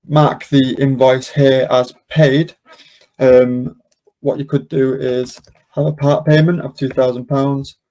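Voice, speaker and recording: Man with a mellow, dark-toned voice, demonstrating software. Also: medium pitch, vocal fry, poor audio. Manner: explanatory, unscripted and hesitant, with frequent ums. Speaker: presenter of a software demonstration webinar. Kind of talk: informal step-by-step screen-share tutorial.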